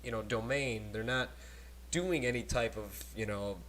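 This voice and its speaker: Animated man hosting a chatty podcast. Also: medium pitch, measured pace. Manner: animated, laughs often, rambling asides. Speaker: podcast host. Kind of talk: casual podcast chat. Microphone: cheap desk microphone at a laptop.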